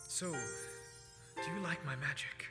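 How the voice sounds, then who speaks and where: A man's voice: fairly high pitch, animated, slow, over loud music. A man playing an earnest, proud, romantic inventor in a staged musical reading, heard in a reverberant theatre. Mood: playful, tender.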